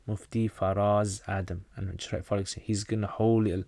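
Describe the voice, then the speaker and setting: A low-pitched man from North Pakistan whose first language is Pashto, reading out viewer questions and answering them in a relaxed livestream. His delivery is plain, with frequent restarts. The sound is close and dry, with no room echo. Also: a little fast, vocal fry. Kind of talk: casual livestream Q&A.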